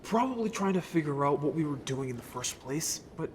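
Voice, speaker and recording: A man's voice: on the high side, animated, a little fast, a little hiss or hum, close to an everyday voice. A man playing a guilt-ridden, anxious young merman in a fantasy audio drama, speaking hesitantly and emotionally. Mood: troubled. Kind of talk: scripted audio drama acting.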